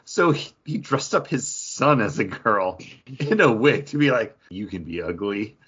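A man's voice: fairly low pitch, animated, a little slow, fairly bright tone, breathy edge. A man in his thirties co-hosting a podcast in loose, casual chat. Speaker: podcast co-host.

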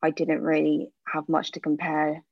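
A woman's voice: low voice, monotone, moderate pace, no echo. A woman, chatting candidly in an interview with frequent fillers. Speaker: interview guest, aesthetic practitioner. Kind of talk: casual interview answers. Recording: dull lo-fi sound.

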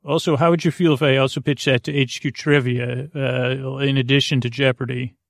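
A man with a creaky voice hosting a sleep podcast, wandering through a soothing, hesitant monologue full of tangents. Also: mid-range voice, steady tone, brisk, dry recording, studio quality. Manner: meandering, hesitant, full of tangents and trailing restarts; soothing, lulling. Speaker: podcast host. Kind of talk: rambling bedtime monologue to lull listeners to sleep.

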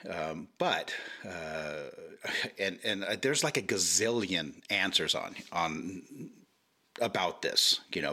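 A middle-aged man with a low voice and a bright, forceful edge. He speaks as a podcast guest, stumbling through restarts and fillers. He keeps his explanation careful and diplomatic. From Hawaii. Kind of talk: casual podcast chat.

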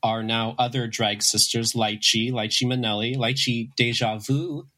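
Man, medium pitch, raspy, a bit under the weather, casual storytelling, conversational guest. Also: moderate pace. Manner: animated storyteller with frequent "like" and quoting. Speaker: guest, drag performer. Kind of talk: casual conversational interview chat.

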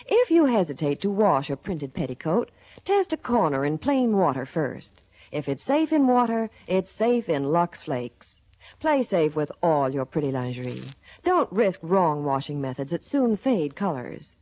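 Lively, lower-voiced woman reading vintage advertising. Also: a little slow, dry recording. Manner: emphatic sales delivery. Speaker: commercial spokeswoman. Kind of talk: 1950s radio advertisement read.

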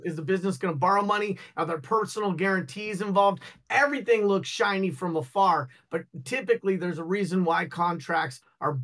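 Gender: man. Pitch: high. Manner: blunt, salty. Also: a bit bright. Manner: blunt, opinionated, salty language; folksy analogies. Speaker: guest on a cannabis news show. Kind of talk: casual podcast chat.

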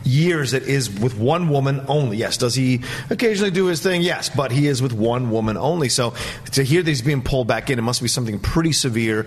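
A man's voice: mid-range voice, animated, brisk, husky. A man giving conversational film commentary as a talk show panelist, emphatic and opinionated as he rambles through his thoughts.

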